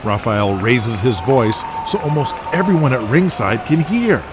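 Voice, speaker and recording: A man's voice: mid-range voice, expressive, a little slow, background noise. A man narrating a scripted audio drama as a dramatic storyteller. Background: music.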